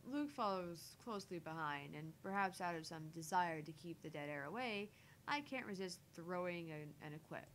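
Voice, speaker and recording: Lowish-voiced woman narrating over background noise. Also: expressive, moderate pace, fairly bright tone. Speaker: streamer reading a visual novel aloud. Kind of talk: first-person story narration with casual asides.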